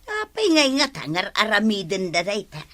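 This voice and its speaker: A woman's voice: mid-range voice, wildly swooping pitch, normal speed, a bit bright. A woman acting a skeptical village housewife in a comedic radio drama, speaking with an Ilocano accent. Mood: curious gossiping.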